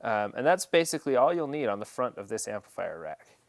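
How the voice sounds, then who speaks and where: A man's voice: mid-range voice, sing-song, fairly quick, gravelly. A man presenting an instructional equipment setup tutorial, explaining each step in a plain, practical way.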